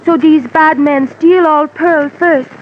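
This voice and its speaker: An actress playing a gentle young island woman in an old-time radio drama, speaking soft, cooing, halting broken English. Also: high-pitched, steady tone. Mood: grave, simple. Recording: dull vintage radio recording, faint noise.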